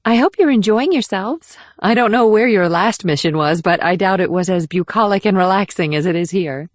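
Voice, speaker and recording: A woman's voice: mid-range voice, animated, moderate pace, no room sound, natural voice. A woman playing a composed, gracious First Lady with a polite, formal manner in audiobook dialogue.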